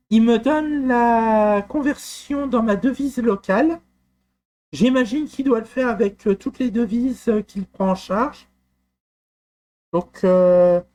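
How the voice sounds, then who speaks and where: Hesitant man presenting a software tool. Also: very high voice. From France. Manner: hesitant, many fillers and restarts. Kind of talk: screen-recorded walkthrough.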